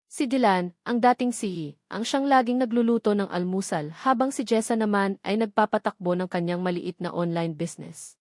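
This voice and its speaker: A woman's voice: medium pitch, a little fast, darkish tone, no room sound, clean studio sound. A woman reading a scripted romantic drama as a storyteller, in an earnest, sentimental manner. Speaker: storyteller and channel narrator.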